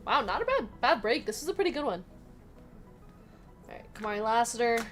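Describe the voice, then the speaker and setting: Woman, fairly high voice, chatty card break host, laughs often, music playing behind. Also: very animated, a little fast, a bit bright. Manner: chatty, laughs often, reads out players and numbers. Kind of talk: live card break, casual chat.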